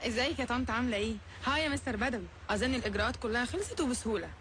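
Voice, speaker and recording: A woman's voice: fairly high pitch, racing, fairly bright tone, slight background noise, lightly acted. A woman doing a chatty young Egyptian woman. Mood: cheerful. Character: cheerful young Egyptian woman greeting relatives. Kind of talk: radio comedy serial acting.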